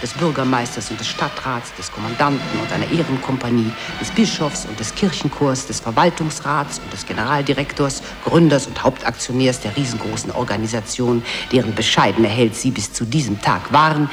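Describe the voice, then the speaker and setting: An actress playing an expansive narrator of a satirical office tale, speaking in rolling, grandiloquent sentences. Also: female voice, a bass voice. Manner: grandiloquent, rolling long lists. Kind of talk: scripted radio play narration addressed to "you".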